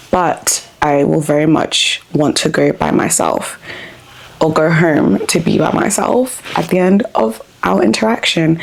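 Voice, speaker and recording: A woman talks to camera in a reflective personal vlog, her voice sitting somewhat low and crackling with vocal fry. She meanders as she thinks, restarting lines and filling pauses with um and like. Her delivery stays unhurried and candid. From born in Africa, grew up in Australia. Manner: thoughtful, rambling, frequent ums and likes. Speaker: vlogger.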